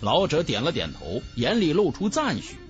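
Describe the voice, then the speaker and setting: Expressive male storyteller with sweeping pitch. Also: moderate pace, muffled recording. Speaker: audiobook narrator. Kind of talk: scripted fantasy novel narration. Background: background music.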